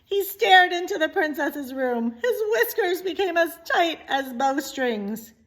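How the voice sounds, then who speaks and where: A woman narrating a children's story expressively, with deliberate, dramatic emphasis. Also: high-pitched, big pitch swings. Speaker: story reader, teacher.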